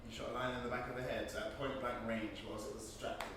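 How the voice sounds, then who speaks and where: A man's voice: mid-range voice, little pitch movement, fast, lightly acted. An actor playing a desperate, foul-mouthed young British man held captive, exasperated, in a stage drama. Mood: sullen. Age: young adult. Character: Leon, desperate, sweary young male captive. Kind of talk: theatrical stage drama dialogue. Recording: very echoey, distant mic, faint noise.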